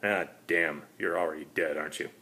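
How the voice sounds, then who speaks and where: A man's voice: rumbling low, steady tone, a little fast, voice pushed down. A man voicing a lonely, despairing last vampire, a polite predator, in a dramatic story reading. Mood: lonely, bitter. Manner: pleading, rising into manic shouting.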